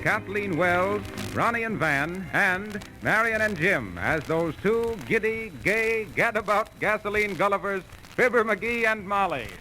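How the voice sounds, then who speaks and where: A man's voice: high-pitched, animated, unhurried, hardly any pauses, low-quality recording. A man announcing in a formal, theatrical 1930s radio style. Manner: formal, theatrical billing of performers. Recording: old radio recording with loud music. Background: loud orchestra music.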